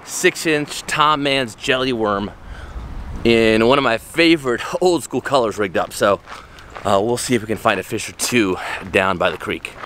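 A man's voice: medium pitch, normal speed. A man, talking casually to a handheld camera while out fishing by creeks and lakes. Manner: relaxed, chatty, upbeat, with fillers. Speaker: fishing vlogger. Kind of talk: casual vlog talk to camera. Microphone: handheld action camera at arm's length. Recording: faint outdoor background noise.

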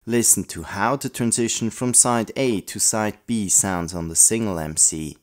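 Low-voiced man hosting a scripted retrospective. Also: moderate pace. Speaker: video host, audio play collector.

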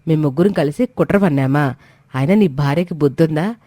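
Woman acting a haughty, meddling aunt, low-voiced. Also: fairly quick, mellow, dry recording. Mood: offended, insinuating. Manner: complaining, insinuating. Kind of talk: dramatised moral-story cartoon dialogue.

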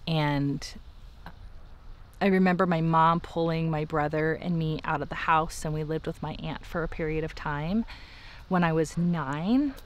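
A man's voice: a little high, unhurried. A young man, hesitant and thoughtful, recalling his past in a documentary interview.

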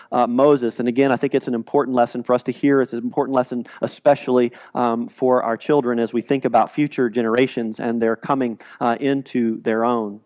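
A man in his forties preaching a sermon in a church sanctuary, animated and gesturing, often repeating words as he restarts. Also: medium pitch. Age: 40s. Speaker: pastor. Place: large church sanctuary, speaking from the stage.

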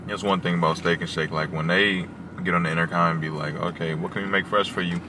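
A man's voice: sits low, fairly even intonation, fast, distant mic. A young man talking in a laid-back, slangy way from a parked car, a little off the mic. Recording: off-mic with road noise.

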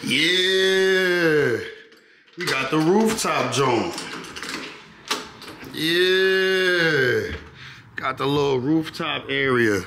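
A man's voice: a little high, lively intonation, drawn-out words, pauses often. Laid-back man touring an echoey apartment. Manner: laid-back, repeats phrases, keeps asking "you know what I'm saying". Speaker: vlogger giving an apartment tour. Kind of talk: casual slangy walkthrough. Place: rental apartment, indoors then rooftop. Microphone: handheld camera held close. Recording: echoey rooms with clear background noise.

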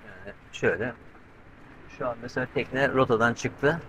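A man's voice: medium pitch, normal speed, background noise. A middle-aged man vlogging alone on his sailboat at sea, chatting to the camera and explaining step by step, tense as he watches the weather. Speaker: solo sailing vlogger. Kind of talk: casual vlog talk to camera. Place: covered cockpit of a sailboat at sea, outdoors. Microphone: handheld camera with mic on a pole.

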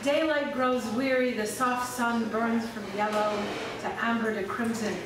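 High-voiced woman reading poems in an echoey cafe. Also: fairly even intonation, noisy background. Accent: American. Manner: slow, measured reading with chatty asides. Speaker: poet at an open mic. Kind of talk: poetry reading. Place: small cafe stage at night. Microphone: stand mic, camera from across the room. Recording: echoey room, distant camera mic, crowd. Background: audience in the cafe.

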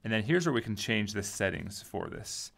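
Low creaky voiced guy doing a casual software how-to, sorta figuring it out out loud. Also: sing-song, normal speed. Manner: informal, thinking aloud as they go. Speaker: tutorial host. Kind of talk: casual screen-recorded software tutorial.

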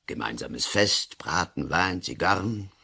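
A domineering, cynical colonel and politician in a radio drama. His voice is husky and fairly low. He lectures pompously, with big swoops of emphasis. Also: expressive, unhurried, pushed and bright, exaggerated voice. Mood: cynical, lecturing. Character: blustering, cynical male colonel and lawyer-politician. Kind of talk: German-language radio play acting.

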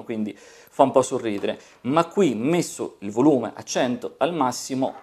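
A man in his forties hosting a casual product review, playful and expressive, drawing out words for emphasis. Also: mid-range voice. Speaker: tech reviewer, video host. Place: small home studio room with desk and monitors.

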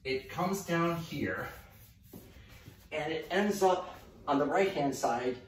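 A middle-aged man giving a casual painting demonstration, talking haltingly and thinking aloud as he works. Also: a little high. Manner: relaxed, halting, thinking aloud while working. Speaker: mural painter presenting a tutorial. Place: indoor room, painting at a wall. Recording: echoey room, distant mic, faint noise.

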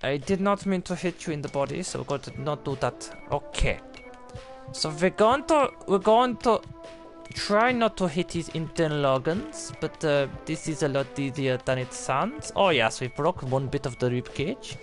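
Casting: man playing flustered, apologetic foreign surgeon for game commentary, put-on accent, highish male register, stilted phrasing, music underneath. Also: lively intonation, moderate pace. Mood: apologetic, determined. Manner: flustered, exclaiming, stilted uncontracted phrasing, swearing. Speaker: video game player.

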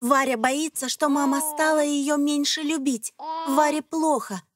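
A woman's voice: high-pitched, steady tone, measured pace. A woman reading scripted narration for an educational children's cartoon, explaining things in simple phrases addressed to young listeners.

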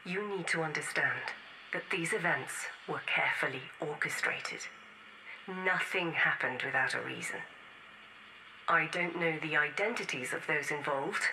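An actress playing a defiant rebel woman leaving a grave final testament in a science-fiction game audio log, solemn, urgent and deliberate. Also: sits low, noisy background. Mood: grave, conspiratorial.

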